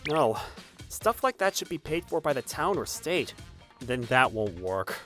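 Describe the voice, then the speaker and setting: A woman's voice: rumbling low, big pitch swings, measured pace, full character voice. A woman voicing a level-headed, sensible husband in a manga dub, conversational and reasoning, with background music. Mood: calm, reasoning. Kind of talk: manga dub character acting.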